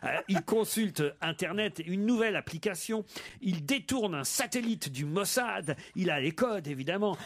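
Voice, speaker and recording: A man hosting a radio talk show, telling jokes with lively banter and acting out voices. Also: high-pitched. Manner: animated, laughing, acts out voices in jokes.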